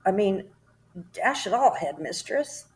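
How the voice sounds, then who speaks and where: A woman's voice: mid-range voice, sing-song, takes it slowly, darkish tone, slight background noise, pitched above the performer's own voice. A woman voicing a chubby, nervous schoolboy who sounds puzzled and protests his innocence. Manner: bewildered, protesting.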